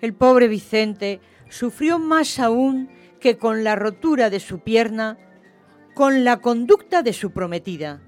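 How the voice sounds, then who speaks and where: A woman narrating a literary radio play in an expressive storytelling style. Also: on the high side, slow. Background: music.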